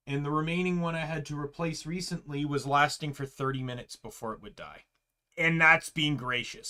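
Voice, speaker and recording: Rambling young man with a bright voice. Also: on the high side. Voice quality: loud voice. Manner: rambling, many fillers and restarts, emphatic. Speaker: streamer. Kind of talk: casual rambling stream chat.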